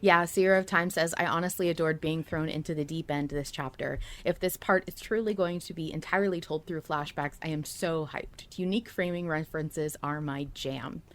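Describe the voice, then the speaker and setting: A woman's voice: low voice, steady tone, moderate pace, few pauses. A woman hosting a casual fan discussion podcast, speaking with enthusiasm as she comments and reads out remarks. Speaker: podcast host.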